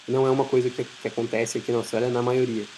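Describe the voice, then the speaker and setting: A Brazilian man gives an informal talk in a medium-pitched voice, explaining things conversationally. His Brazilian Portuguese accent comes through over a faint noisy hiss in the recording. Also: level tone, fast talker, warm tone. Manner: conversational, explanatory, acts out short imagined dialogues. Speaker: IT professional giving a talk. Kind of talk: informal presentation.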